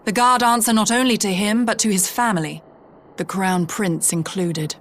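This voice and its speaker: A woman's voice: medium pitch, steady tone, moderate pace, a bit bright, lightly acted. A woman playing a proud, serious young noblewoman with a formal, pointed manner in a video game. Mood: grim, pointed. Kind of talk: video game cutscene voice acting.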